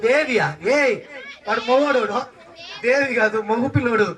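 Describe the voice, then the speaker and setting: A woman's voice: on the high side, measured pace. A woman presenting a children's puppet show with playful banter and teasing mock scolding. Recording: distant mic, noisy crowd of children. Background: crowd of children.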